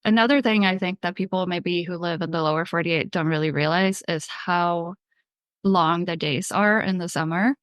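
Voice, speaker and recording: A woman from Sweden hosting a podcast interview, asking relaxed, chatty questions with a lot of "like". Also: on the low side, normal speed, no echo, clean studio sound. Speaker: podcast host. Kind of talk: casual interview.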